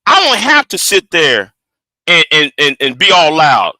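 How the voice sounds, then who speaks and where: A man on a live panel stream, loud and repetitive, talking over the others. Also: fairly high pitch, wildly swooping pitch, a little slow, dry recording. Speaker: guest. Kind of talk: heated panel debate.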